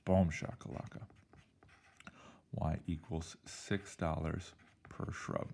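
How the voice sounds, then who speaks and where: A male math teacher, slightly hoarse, talking through an algebra problem in an upbeat, playful way with jokey exclamations. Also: a bass voice, flat delivery, slow pace, a little mellow, a little hiss or hum. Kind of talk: recorded algebra lesson walkthrough.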